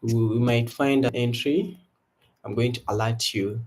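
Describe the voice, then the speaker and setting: Relaxed young Ugandan man livestreaming. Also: mid-range voice, measured pace. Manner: relaxed, repetitive hype calls to viewers. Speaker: livestream trading host. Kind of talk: casual live-stream chat. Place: indoor room before a branded backdrop.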